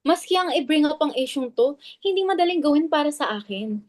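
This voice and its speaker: A woman's voice: high-pitched, lively intonation, brisk, no room sound, studio quality. A woman with a Filipino accent playing an anxious, defensive club member in a dramatic reading. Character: anxious, defensive clubwoman guarding the club's image.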